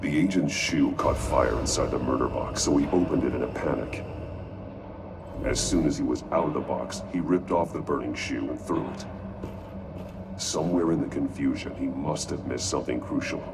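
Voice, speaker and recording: A stern masked vigilante from a video game, with an extremely low, gravel-heavy growl. He speaks tersely and methodically, like a grim detective working a case. The voice sits back from the mic amid clear noise. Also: distant mic. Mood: grim, analytical. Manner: terse, deliberate, analytical.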